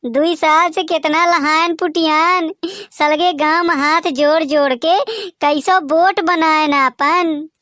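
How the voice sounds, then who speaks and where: A man with a Bagheli accent voicing a nagging, sharp-tongued village wife who curses and scolds. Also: extremely high pitch, fairly even intonation, slow, dry recording, studio quality, voice pushed up, heavily acted. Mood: complaining. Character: shrill scolding village wife running for office.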